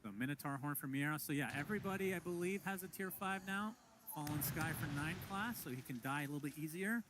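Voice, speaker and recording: A man doing play-by-play commentary on an esports match, quick to burst into exclamations. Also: fairly high pitch, noisy background. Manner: excitable, breaks into exclamations. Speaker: esports caster.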